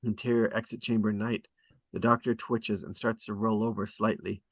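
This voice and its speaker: A man reading screenplay stage directions as scripted narration, with deliberate dramatic emphasis. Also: lowish voice, little pitch movement, dry recording. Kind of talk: scripted narration at a table read.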